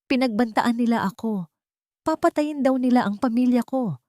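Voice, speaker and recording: A woman's voice: on the high side, normal speed, dark tone, no room sound, clean studio sound, voice pushed up, natural voice. A woman voicing a fearful old male driver with a trembling delivery in an audio love story. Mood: terrified.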